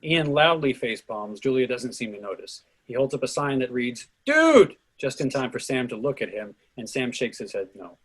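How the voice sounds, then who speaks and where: A man's voice: medium pitch, very animated, normal speed, few pauses, a bit bright, husky. A man reading scripted stage directions as the narrator of a play reading.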